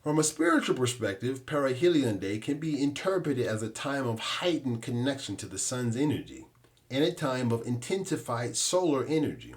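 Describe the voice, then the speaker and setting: A man hosting a podcast, delivering a scripted monologue in a measured, explanatory manner. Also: medium pitch, very animated. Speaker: podcast host and author.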